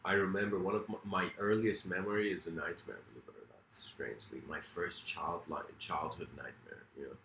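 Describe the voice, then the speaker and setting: A man's voice: low voice, brisk, creaky, hardly any pauses, sharp tone, roomy sound, slight background noise. A man from Iran whose first language is Farsi, giving a reflective philosophical talk in a rambling, self-correcting way with many fillers. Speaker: speaker.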